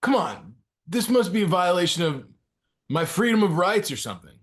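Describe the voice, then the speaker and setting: A man's voice: sits high, big pitch swings, moderate pace, fairly bright tone. A man playing a pleading, slangy slacker ski bum in his twenties, in a comedic table read. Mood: whiny protest. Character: laid-back, pleading young ski bum, male, twenties.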